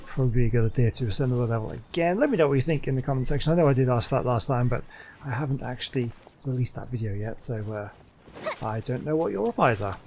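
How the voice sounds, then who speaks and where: A man's voice: mid-range voice, animated, fast talker, darkish tone, a little hiss or hum, rough recording. A man giving casual, musing gameplay commentary as the host of a gaming video. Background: video game sound.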